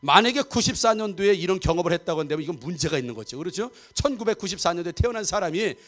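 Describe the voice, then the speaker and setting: A man's voice: high voice, expressive, racing. A man in his fifties preaching a Sunday sermon, chatty and joking, often checking for agreement from the congregation. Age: 50s. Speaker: pastor. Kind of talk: sermon with humor and audience asides. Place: church sanctuary.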